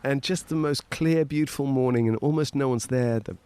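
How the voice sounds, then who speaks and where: A middle-aged man from Portugal chats as a podcast guest in a loose, rambling way. He laughs often and wanders between thoughts. His voice sits at an ordinary pitch for a man. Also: brisk, a little mellow, clear, resonant tone. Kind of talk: casual conversation.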